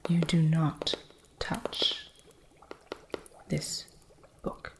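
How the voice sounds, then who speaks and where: A woman voicing a stern, impatient witch who runs a curio shop, in a soft whispered ASMR roleplay with a strict, haughty manner. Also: sits low, level tone, heavily acted. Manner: strict, haughty, slow and deliberate.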